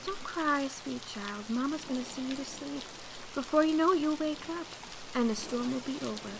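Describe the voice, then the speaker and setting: A woman's voice: sits high, normal speed, gravelly, background noise. A crooning mother singing to her child. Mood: soothing. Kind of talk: radio drama acting.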